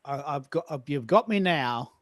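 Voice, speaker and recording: Man from Australia, raised pitch, casual halting chat, dry sound. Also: animated, a bit bright, dry recording. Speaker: show host.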